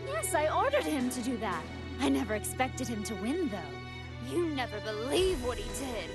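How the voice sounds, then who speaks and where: Evil android lady from an anime game, high voice, super smug n mocking, lively swings, music behind. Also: normal speed. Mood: cool contempt. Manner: taunting, haughty, coolly theatrical. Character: sadistic female android villain, smug and mocking. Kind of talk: English anime video game voice acting. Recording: loud music under the voice.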